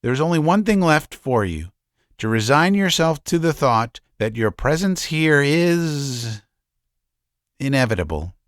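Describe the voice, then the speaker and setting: A man voicing a gentle, guilty middle-aged doctor who speaks softly and stammers. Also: a little high, sing-song, measured pace, no room sound, clean studio sound. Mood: reflective. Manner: soft-spoken, stammering.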